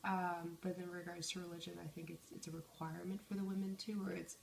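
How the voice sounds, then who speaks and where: A woman's voice: lowish voice, little pitch movement, fast talker, creaky. A woman of twenty-two from Canada, giving hesitant answers in an interview. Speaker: student interviewee. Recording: echoey room, distant mic, faint noise.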